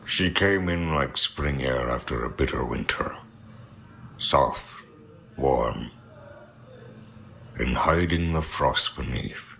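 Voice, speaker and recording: An actor playing a brooding, world-weary noir detective, narrating gravely and deliberately in a gravelly voice. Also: male voice, fairly bright tone, slight background noise, heavily acted. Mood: wistful, ominous. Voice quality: very deep, gravelly. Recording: music under the voice.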